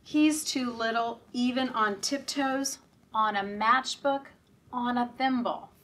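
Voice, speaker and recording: Slow, bright-voiced woman reading to small children. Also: a little high. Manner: animated, interactive, asking children questions and prompting actions. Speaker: children's storytime reader at a library. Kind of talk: expressive picture-book read-aloud to young children. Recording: voice at a distance from mic.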